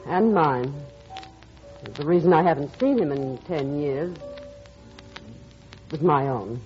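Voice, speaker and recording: An actress playing a wistful, gentle stepmother with a secret, in a halting, earnest old-time radio drama style. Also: low-pitched, animated. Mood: guarded, wistful. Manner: halting, hesitant, softly earnest. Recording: muffled old radio recording, music behind.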